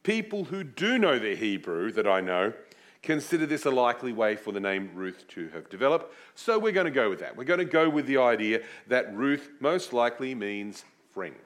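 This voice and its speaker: A man's voice: mid-range voice, normal speed. A man preaching a teaching sermon. He explains scripture in a chatty way, with asides that poke fun at himself. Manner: animated, swooping emphasis on key words; chatty, with self-deprecating asides. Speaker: preacher teaching a series on a Bible book. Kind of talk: sermon with Bible teaching and prayer.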